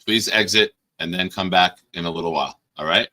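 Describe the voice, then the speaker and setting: A male host with a low, bright and forceful voice that is hoarse and worn. He teases and bosses his guest in loose live-stream banter. Now and then he breaks into sudden loud bursts. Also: a little fast. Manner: teasing, bossy banter with sudden loud outbursts. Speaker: podcast host. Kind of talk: casual live-stream chat.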